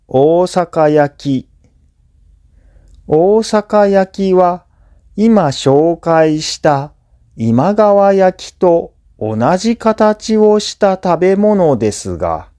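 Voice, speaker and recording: Slow, mellow male narrator. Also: high-pitched. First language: Japanese. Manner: measured, clearly phrased explanatory delivery. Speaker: language teacher reading listening practice. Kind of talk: scripted educational narration.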